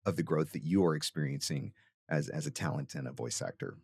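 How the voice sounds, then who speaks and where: A man's voice: rumbling low, brisk, creaky, a little mellow, breathy edge. A man hosting a podcast, giving conversational advice emphatically, with rhetorical questions and frequent check-ins. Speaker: podcast host and voice-over coach.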